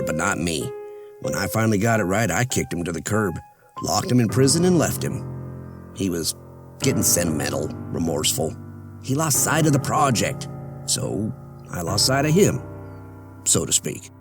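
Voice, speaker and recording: An actor in an audio drama playing a gloating, taunting mad-scientist serial killer with drawn-out, theatrical delivery. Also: male voice, low-pitched. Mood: smug, wistful.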